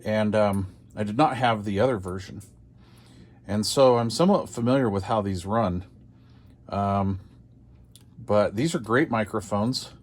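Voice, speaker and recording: A man's voice: fairly low pitch, a little slow, pauses often. An older American man chatting casually as he hosts a hobby unboxing video, rambling along in a folksy, self-deprecating way.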